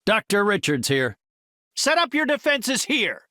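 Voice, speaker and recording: An actor playing a courteous, confident middle-aged superhero scientist in a video game, speaking in an articulate, formal and erudite way. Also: male voice, sits high, wildly swooping pitch, a little slow, pushed and bright, no echo, clean studio sound, heavily acted. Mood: urgent, commanding. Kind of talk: video game character voice lines.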